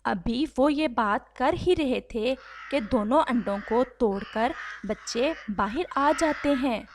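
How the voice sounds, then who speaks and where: High-pitched woman narrating a children's story. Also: slow pace, a little hiss or hum.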